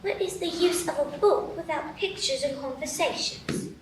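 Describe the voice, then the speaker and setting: A woman's voice: sits high, normal speed, lightly acted. A woman playing a curious, persistent little girl in a live radio play, childlike and wondering, recorded from a distance with echo and noise. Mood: curious, pestering. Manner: questioning, childlike. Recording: echoey, distant stage pickup, clear noise.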